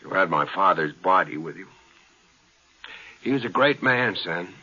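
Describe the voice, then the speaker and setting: An actor playing a hearty, insistent space explorer with an earnest, probing manner in a 1950s radio drama. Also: male voice, sits low, animated, unhurried, pushed and bright, breathy edge, full character voice. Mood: earnest, reassuring. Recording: dull, lo-fi 1950s radio recording.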